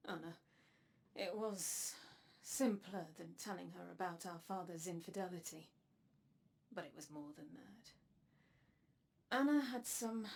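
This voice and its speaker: An actress playing a solemn, weary elder sister from a dark future, speaking in measured, dramatic video game dialogue. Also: female voice, on the low side, creaky, breathy edge, exaggerated voice. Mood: somber, reflective. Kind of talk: scripted video game cutscene narration. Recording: game audio with music underneath. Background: music.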